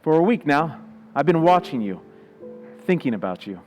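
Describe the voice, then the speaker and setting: An actor plays a brooding, weak-willed husband with a violent streak in a vintage-style radio thriller. His voice is fairly high for a man, terse and intense. Music runs beneath the scene. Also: sing-song, normal speed. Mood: low, yearning. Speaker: actor in a community playhouse radio play. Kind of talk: old-time radio suspense acting.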